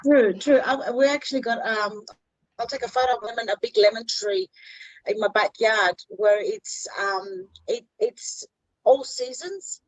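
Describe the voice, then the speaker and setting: Higher-voiced woman, dry close sound. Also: animated, measured pace, no echo, rough recording. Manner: friendly, gives lots of short agreeing replies. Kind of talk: casual video-call chat.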